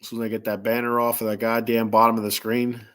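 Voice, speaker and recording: A man's voice: mid-range voice, completely flat delivery, quick pace, a bit bright. A man hosting a casual live sports talk stream, blunt and rambling, swearing freely, with a stuffy nose. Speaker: sports talk show host.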